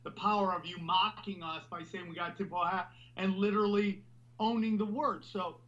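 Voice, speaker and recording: A man hosting a podcast, talking loudly and animatedly in a rambling, casual way. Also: high voice, steady tone, normal speed, highs cut off. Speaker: podcast host.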